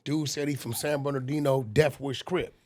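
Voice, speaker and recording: A man in his forties telling street stories in casual, animated talk on a podcast. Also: mid-range voice, normal speed. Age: 40s. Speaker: podcast guest. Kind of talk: casual storytelling.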